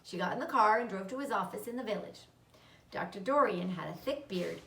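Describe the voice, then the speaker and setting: A woman's voice: mid-range voice, animated, brisk, vocal fry, fairly bright tone. A woman narrating a children's book aloud as a storybook reader. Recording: roomy echo, distant microphone.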